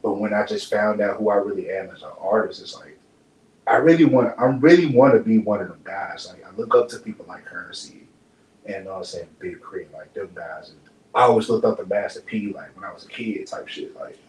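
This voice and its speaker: A man's voice: on the low side, a little fast, a little mellow. A young man from Dallas, a rapper and podcast guest, talking in a laid-back, slangy way, looping through filler phrases. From Dallas, Texas. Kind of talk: relaxed interview chat. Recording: echoey room, mic far off, faint hum.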